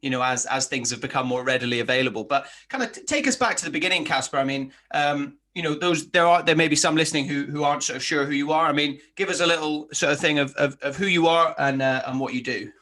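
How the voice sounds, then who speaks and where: A man's voice: a little high, quick pace, few pauses, sharp tone. A man hosting a podcast in a casual, matey and enthusiastic interview style. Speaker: podcast host.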